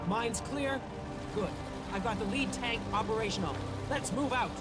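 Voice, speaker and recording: Gruff beast soldier played by a man, brisk commanding orders, video game, high for a man, music behind. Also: close to an everyday voice. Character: gruff male beast-soldier commanding a war machine column.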